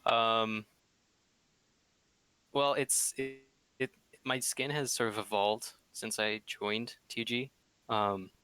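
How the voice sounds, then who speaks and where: A young man with a fairly low but bright, forward voice talks casually as a podcast guest. He rambles a little and feels his way through sentences, in a very dry recording. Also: clear, resonant tone, no echo. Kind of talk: casual chat.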